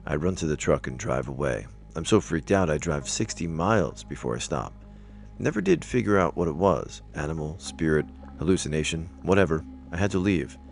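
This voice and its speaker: A man with an extremely deep voice narrates scary stories. His delivery has a gravelly, creaky edge. Soft music plays behind the scripted storytelling. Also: animated, a little fast. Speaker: narrator reading online stories aloud.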